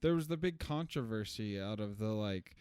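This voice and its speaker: Chatty man with a smooth low voice. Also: lively intonation, normal speed, a little mellow, no room sound, studio quality. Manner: loose and chatty, many restarts and "like". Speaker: podcast co-host. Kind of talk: casual chat, movie review.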